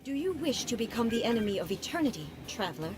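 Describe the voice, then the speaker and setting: An actress voicing an aloof, commanding female ruler in a video game, speaking in a calm, measured and formal way. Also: fairly high pitch, heavy background noise. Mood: challenging.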